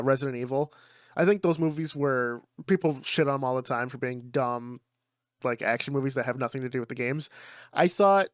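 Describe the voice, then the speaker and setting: A man co-hosting a casual podcast, swearing freely, laughing often and talking excitedly. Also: mid-range voice, clear, resonant tone, dry recording, clean studio sound. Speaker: podcast co-host. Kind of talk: casual chat.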